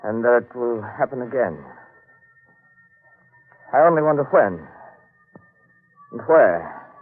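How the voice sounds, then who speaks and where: An actor playing a brooding, ominous man with an eerie calm, measured and portentous, in 1940s radio drama. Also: medium pitch, soft background music. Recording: muffled old radio recording.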